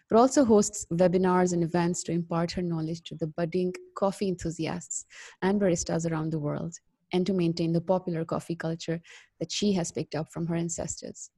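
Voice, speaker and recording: A woman hosting a podcast, reading a measured scripted intro before moving into upbeat interview questions. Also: fairly low pitch, mellow. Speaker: podcast host.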